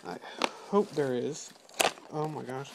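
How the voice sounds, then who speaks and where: A chatty teenage boy reviews toy trains at home, talking into a phone held close. He is still getting over a cold and keeps clearing his throat. Loud crinkling plastic packaging rustles clearly around his voice. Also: medium pitch, animated, slow, heavy background noise. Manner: chatty, eager, apologetic, clears throat often. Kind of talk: casual unboxing review. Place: home room with patterned rug. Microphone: phone camera held close.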